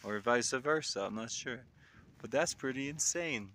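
A man with a mid-pitched voice talks casually to his handheld camera as a solo hiker. He is chatty and given to exclamations of wonder. The outdoor recording is clearly noisy. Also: measured pace, pushed and bright. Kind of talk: casual vlog narration while filming. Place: outdoors in windy, open mountain terrain. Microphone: handheld phone or camera while walking.

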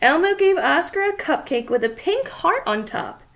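High-pitched, animated woman reading a storybook. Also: normal speed, creaky. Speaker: children's read-aloud reader. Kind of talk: expressive storybook reading with questions to children. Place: small indoor room.

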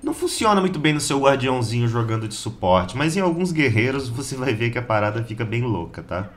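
A slightly hoarse Brazilian man giving a casual, slangy gameplay guide as host of a gaming channel. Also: medium pitch, very animated, quick pace. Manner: slangy, informal, chatty. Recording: keyboard clatter and background noise.

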